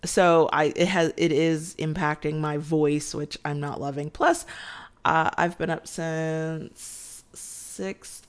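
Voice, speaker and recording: A woman's voice: low-pitched, fairly even intonation, slow pace, clear, resonant tone. A woman hosting a casual late-night podcast, stuffed up and nasal from a sinus cold, tired and chatty, rambling and often restarting her sentences. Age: adult with children. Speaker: podcast host.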